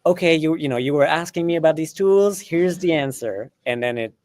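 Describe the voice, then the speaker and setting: A man's voice: on the high side, fairly quick, darkish tone, no room sound. A man whose first language is not English, co-hosting a live coding stream and explaining chattily with frequent restarts and fillers. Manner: chatty, many restarts and fillers, laughs often. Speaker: co-host.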